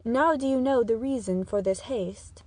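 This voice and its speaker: A woman playing a courtly, confident young nobleman in a measured, formal Shakespearean dramatic reading. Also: on the high side, darkish tone, dry recording, close to an everyday voice. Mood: courtly, assured.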